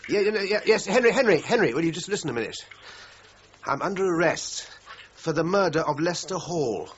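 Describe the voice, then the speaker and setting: An actor playing a highly strung murder suspect in a radio crime drama, flustered and insistent. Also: male voice, lively intonation, fairly quick. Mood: agitated, urgent. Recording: dull, hissy radio drama recording.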